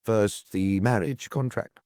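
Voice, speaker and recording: Man as a calm, low-voiced male detective inspector. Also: no room sound, clean studio sound. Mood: probing. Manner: measured, probing, courteous. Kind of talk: audiobook character dialogue.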